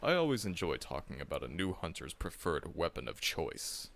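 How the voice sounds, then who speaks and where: A man's voice: a bass voice, very animated, normal speed, fairly bright tone, full character voice. A man voicing a seasoned, friendly veteran monster hunter in an audio roleplay, chuckling often and teasing the listener.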